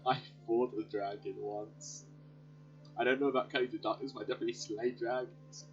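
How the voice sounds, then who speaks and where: A man's voice: mid-range voice, steady tone, brisk. A man giving casual, chatty, offhand let's play commentary as he reacts to a game. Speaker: gaming video host. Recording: dull lo-fi mic, game music behind.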